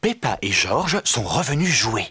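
A woman's voice: rumbling low, big pitch swings, a little slow, very noisy, heavily acted. A woman narrating a children's cartoon as a scripted storyteller. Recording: music under the voice.

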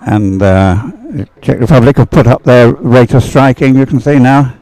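A man's voice: medium pitch, steady tone, normal speed, warm tone, rough recording. A man giving live sports race commentary in a conversational, hesitant way, often musing aloud.

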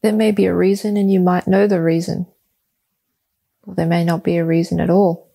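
A woman leading a guided meditation, giving soft, calm, soothing instructions in short phrases with long, deliberate pauses. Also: lowish voice, gravelly, slightly rough.

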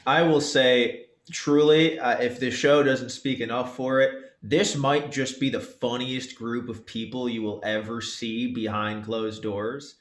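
A man's voice: medium pitch, steady tone, unhurried, roomy sound. A young man chatting casually as a panelist on a group video call. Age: young adult. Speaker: cast member in a Q&A. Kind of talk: casual chat. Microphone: video call microphone.

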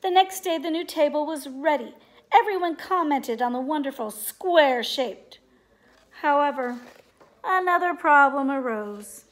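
A woman's voice: high voice, lively intonation, a little slow, rough recording. A middle-aged woman reading a storybook aloud for children in a living room. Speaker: storybook reader. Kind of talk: children's read-aloud narration. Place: living room at home, indoors.

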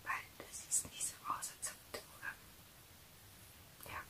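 A woman in her thirties playing a caring survivor who tends a wounded companion in a hushed, soothing, urgent ASMR roleplay. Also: unhurried. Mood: concerned, gentle.

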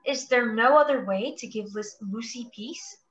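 A woman's voice: a little high, normal speed, muffled recording, close to an everyday voice. A woman playing an anxious, earnest young lady in a radio play. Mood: worried. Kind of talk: stage-style radio play acting.

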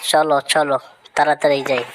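A sociable cartoon village dog, played by a woman, with a very low voice. The talk is neighbourly and matter-of-fact, explaining things in simple repeated points. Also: level tone, brisk, a little hiss or hum, natural voice, rough recording. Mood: easygoing. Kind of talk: cartoon moral-story dialogue.

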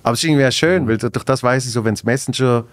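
A man's voice: medium pitch, rapid-fire. A young man with a Basel Swiss German accent hosting a podcast, talking hesitantly with many restarts and easy laughs. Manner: hesitant, repeats words, laughs often. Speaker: podcast host. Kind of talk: casual conversation. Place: dark podcast studio at a table. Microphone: studio desk mic on a boom arm.

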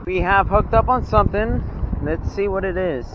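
A man's voice: high voice, normal speed, muffled recording. Upbeat young man narrating fishing, windy. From Texas. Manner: upbeat, exclaims over each catch, addresses viewers as guys. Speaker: fishing vlogger. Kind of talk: casual running commentary while fishing. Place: outdoors on a rocky jetty by open water. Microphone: body-worn action camera. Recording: wind noise outdoors. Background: wind and water.

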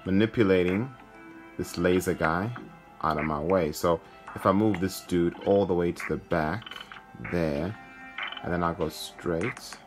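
A man's voice: low voice, measured pace. A man hosting a gaming video, commentating casually and thinking aloud in an informal way. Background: game music.

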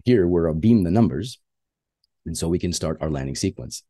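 A man's voice: low voice, lively intonation, moderate pace, warm tone, no room sound, clean studio sound. A man who is a flight instructor, giving a plainspoken slide lecture on flying with frequent filler uhs.

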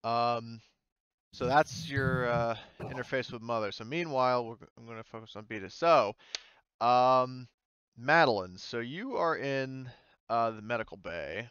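A man's voice: medium pitch, a little slow, fairly bright tone, smooth, no room sound, muffled recording. A man working as game master, narrating a roleplaying session casually and often searching for words. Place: voice chat in an online game session.

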